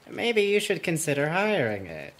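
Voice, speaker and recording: A man's voice: on the high side, huge pitch swings, normal speed, a bit bright. A man voicing a fussy, dry-witted puppet gardener and bug expert in a cartoon, with prim, precise, sardonic wording. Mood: dryly amused. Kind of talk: cartoon character voice acting.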